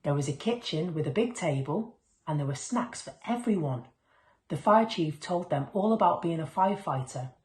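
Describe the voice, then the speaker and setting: A woman's voice: low-pitched, moderate pace. A woman reading a children's picture book aloud as a storyteller, expressively acting out the dialogue. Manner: expressive, acts out dialogue and sound words. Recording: echoey room.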